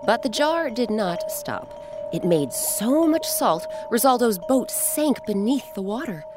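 An American woman hosting and narrating a children's story in a dramatic, expressive scripted style. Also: on the high side, unhurried. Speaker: host and storyteller. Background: music.

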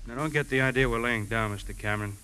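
Actor as bright-voiced, plain-spoken police lieutenant. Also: male voice, mid-range voice, quick pace, lightly acted, low-quality recording. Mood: matter-of-fact. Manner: matter-of-fact, slightly apologetic. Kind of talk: 1950s radio drama acting. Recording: faintly hissy 1950s radio recording.

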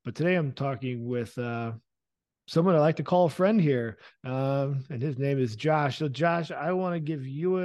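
A man's voice: a little high, darkish tone, dry recording, dull audio. A man hosting a podcast, introducing his guest in a friendly, casual way with some ums. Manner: friendly, laughs along, with ums while introducing. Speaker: podcast host. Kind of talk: casual interview.

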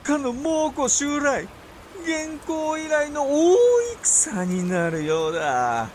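A man acting a haughty, gloating warrior ruler in a period voice drama, with a pompous, theatrical, drawn-out delivery. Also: very high-pitched, expressive, slight background noise, full character voice.

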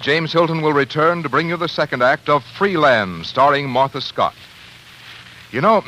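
A man's voice: mid-range voice, a bit bright. A man reading a sponsor message as a 1940s radio announcer, in a polished, persuasive, emphatic delivery. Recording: slightly noisy 1940s radio recording.

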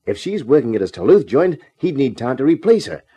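An actor playing a flirtatious, hard-boiled private detective in a 1950s radio drama, with a slangy, wry, breezy delivery. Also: male voice, mid-range voice, sing-song, a little fast, warm tone, husky. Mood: excited, urgent. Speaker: actor in the lead part of a detective radio serial. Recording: 1950s radio drama recording, music behind.

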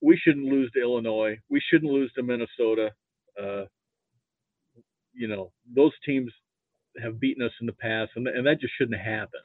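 An American man from Nebraska chatting casually as a sports podcast guest, speaking haltingly over a narrow line. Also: medium pitch, dry recording. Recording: muffled, narrow phone-like line.

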